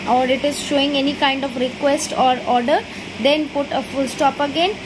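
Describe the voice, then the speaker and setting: A woman with an Indian English accent teaching a grammar lesson to young children. She explains slowly and drops into quick Hindi asides. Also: sits high, noisy background. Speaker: school teacher.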